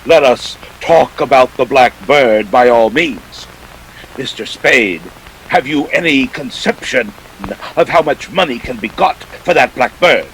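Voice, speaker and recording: Man playing pompous, jovial crime boss, animated pitch. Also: unhurried, slight background noise, poor audio. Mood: jovial, expansive. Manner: pompous, orotund, savoring his words. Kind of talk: 1940s detective radio play acting.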